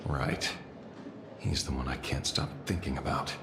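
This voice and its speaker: A man playing a shrewd, composed private detective in a video game, speaking in a measured, dry way. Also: very deep voice, vocal fry, full character voice. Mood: pensive. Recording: echoey, over music.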